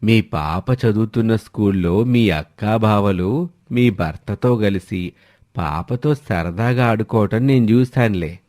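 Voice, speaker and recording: A man's voice: lowish voice, a little slow, a little mellow, natural voice. A man voicing a scheming, meddling troublemaker with an insinuating, falsely familiar manner in a family story.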